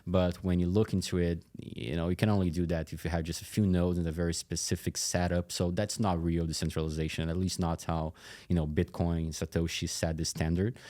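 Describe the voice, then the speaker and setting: A man in his thirties giving casual interview answers as a tech executive, halting and thinking aloud as he goes. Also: low voice, little pitch movement, mellow. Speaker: tech company chief executive and co-founder, podcast guest.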